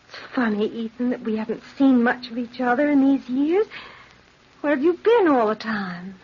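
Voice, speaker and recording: A woman's voice: a little high, animated, unhurried, slightly rough. An actress playing a genteel, bewildered, trusting woman in an old radio drama, with a polite, girlish manner. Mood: pleased. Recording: dull, lo-fi old radio recording.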